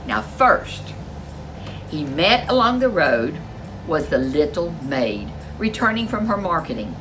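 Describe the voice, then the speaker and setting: Animated, unhurried woman storyteller. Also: fairly low pitch, a little hiss or hum. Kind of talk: animated folk tale narration for children.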